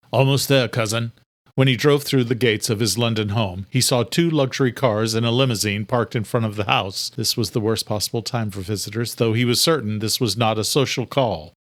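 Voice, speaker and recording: A man's voice: on the low side, no room sound, clean studio sound. A man reading scripted narration for a thriller audiobook.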